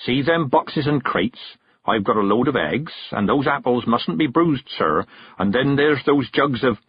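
Man playing a meek, husky country carter. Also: mid-range voice, animated, unhurried, no echo, dull audio. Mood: deferential. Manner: hesitant, deferential, apologetic.

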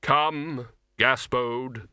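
A man acts out a skeletal reaper for a fantasy audiobook. The delivery is grave, terse and deadpan, with a forceful edge. The recording is very dry. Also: mid-range voice, drawn-out words, clean tone, dry recording, full character voice. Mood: solemn command.

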